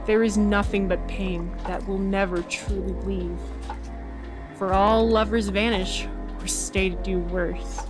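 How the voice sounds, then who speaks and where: A woman's voice: mid-range voice, lightly acted. A woman playing a stand-in radio presenter in a radio fiction show with poetry readings. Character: brisk, wounded radio colleague recording a stand-in poetry segment. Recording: music playing under the voice.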